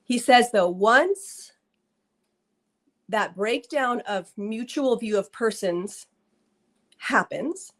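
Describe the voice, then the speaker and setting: A woman's voice: fairly high pitch, expressive, extremely slow, long pauses, fairly bright tone. A woman in her thirties, guest author in an interview, talking earnestly with many fillers and restarts. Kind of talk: conversational interview.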